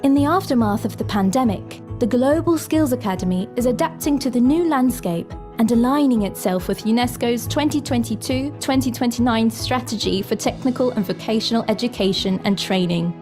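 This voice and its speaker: A woman narrating a scripted promotional video in a smooth, even read-out style. Her voice sits fairly high, and music plays clearly behind her. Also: brisk, hardly any pauses. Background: background music.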